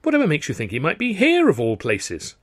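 A man's voice: sits high, huge pitch swings, brisk, gravelly, voice pushed up, full character voice. A man voicing a young English performer feigning polite innocence in a storybook, coaxing and mock-courteous. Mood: feigned surprise.

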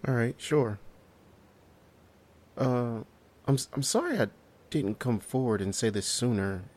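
A man's voice: mid-range voice, unhurried, darkish tone, close to an everyday voice. An actor playing a haunted survivor in a horror audio drama, recounting his ordeal in a hesitant, solemn and descriptive way. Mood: shaken, apologetic. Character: haunted male survivor recounting a harrowing night.

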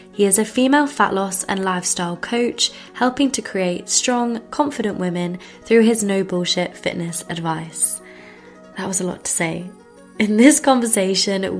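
A woman hosting a fitness podcast, chatty and friendly, sounding a bit stuffy from a cold. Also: mid-range voice. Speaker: podcast host. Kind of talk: casual interview chat.

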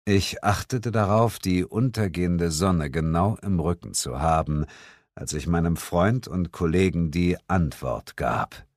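A man's voice: low-pitched, a little slow, darkish tone, no room sound, clean studio sound. A man narrating a comic first-person audiobook story in a mock-dramatic way.

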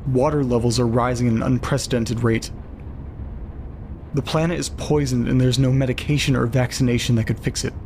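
A man reading out an ominous doomsday zealot's letter in an audio drama. The delivery is measured and portentous, at a medium pitch, with some noise in the background. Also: fairly even intonation, darkish tone, close to an everyday voice. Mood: solemn, ominous.

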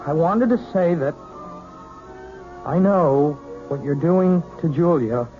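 A man's voice: a little high, slow, soft background music. An actor playing Ronnie, an earnest, nervous but defiant young man in a 1970s radio drama. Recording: dull vintage radio broadcast audio.

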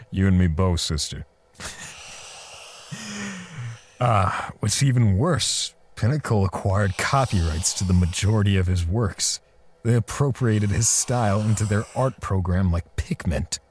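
A man playing a bitter, defensive portrait artist in an audio drama, speaking haltingly with sighs and pauses. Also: lowish voice. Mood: wry. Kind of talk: audio drama dialogue and first-person narration.

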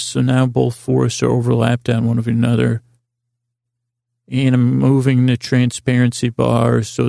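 A man's voice: mid-range voice, gravelly, mellow, no echo, clean studio sound. A man hosting a podcast in a meandering, goofy bedtime monologue, with tangled sentences that double back on themselves. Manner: silly, goofy wordplay. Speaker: podcast host. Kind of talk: rambling bedtime monologue to help listeners fall asleep.

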